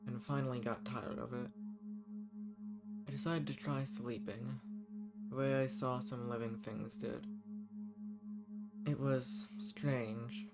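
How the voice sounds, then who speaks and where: Loud music surrounds an ancient banished villain played by a man, a living weapon with a mid-pitched voice. He is refined and wistful, dangerous but softly flirtatious. He speaks intimately, with hesitant pauses, as in a scripted roleplay audio drama. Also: vocal fry.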